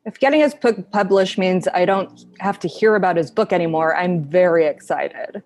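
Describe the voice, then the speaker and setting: A woman's voice: lowish voice, normal speed, darkish tone, close to an everyday voice. A woman playing a dry, teasing girlfriend of thirty in a comedy table read.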